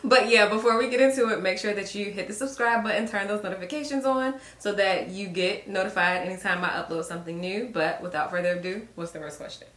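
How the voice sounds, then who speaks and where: A woman in her thirties from Virginia, hosting a relaxed, chatty couple Q&A and laughing often. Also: mid-range voice, fast, hardly any pauses. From Virginia, USA. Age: 30s. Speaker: lifestyle YouTuber host. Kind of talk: casual couple Q&A chat. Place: home room. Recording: echoey room.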